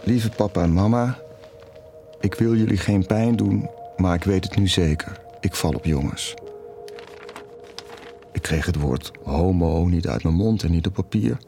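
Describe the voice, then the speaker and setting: Low-voiced, conflicted middle-aged father. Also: a little slow, long pauses, warm tone, faint music behind. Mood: confessional, wistful. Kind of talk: intimate naturalistic audio drama dialogue.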